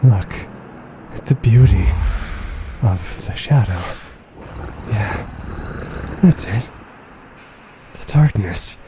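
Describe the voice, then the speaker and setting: Man acting very deep, unhinged blinded announcer. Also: lively intonation. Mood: wounded, then deluded rapture. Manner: theatrical, halting, formal, brooding. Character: unhinged, wounded, blinded male announcer of a dystopia. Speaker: voice actor playing a radio announcer. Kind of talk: dramatic monologue in an audio horror drama. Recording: noisy rumbling ambient bed under the voice.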